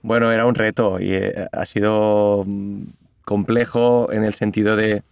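Catalan guy, low gravelly voice, smooth, talks slow and thinks out loud, dry sound. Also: flat delivery, dry recording. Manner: thoughtful, hesitant, many restarts. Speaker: musician, interview guest. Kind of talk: interview conversation.

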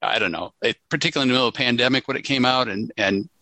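A man with a very bright, forceful voice calls in as a fan, sounding close and dry. He speaks casually and effusively, often halting and starting his phrases again. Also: medium pitch, no room sound, poor audio. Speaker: fan calling in. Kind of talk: casual call-in question.

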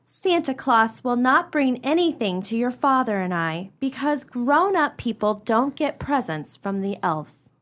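Woman acting slow, high-voiced melodrama wife. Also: big pitch swings, fairly bright tone. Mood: gently explaining. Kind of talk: comic radio melodrama acting.

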